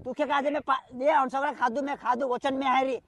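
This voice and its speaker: Woman, high voice, quick pace, scolding and abusive, heated phone-call argument, repetitive. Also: fairly even intonation.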